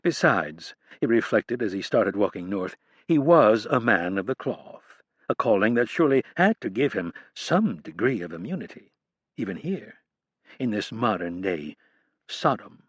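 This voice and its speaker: Man reading a thriller audiobook, steady and measured, very dry sound. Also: on the low side, no echo. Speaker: audiobook narrator. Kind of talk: scripted narration.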